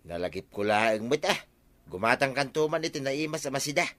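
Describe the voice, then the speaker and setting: A man playing a bright-voiced teasing husband. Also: medium pitch, animated, a little fast, natural voice. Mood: bantering. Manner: teasing, bantering. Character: easygoing husband who loves drinking with friends. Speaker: radio drama actor. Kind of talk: comic radio drama acting.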